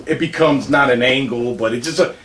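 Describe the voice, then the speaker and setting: A man's voice: medium pitch, brisk, a bit bright. A man interviewing in casual chat, blunt and slangy, often cutting in with short agreements. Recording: echoey room, distant mic, faint noise.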